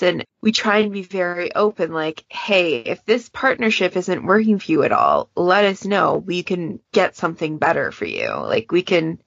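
A woman's voice: lowish voice, gravelly, a bit bright, no room sound, highs cut off. A woman chatting casually and informally as a moderator on a podcast panel.

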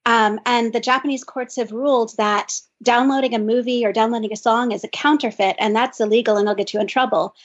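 A woman's voice: fairly high pitch, fairly even intonation, a little fast, hardly any pauses. A woman co-hosting a podcast, chatting casually and thinking aloud with lots of "like". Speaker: podcast co-host. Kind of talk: casual discussion. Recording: dull lo-fi sound.

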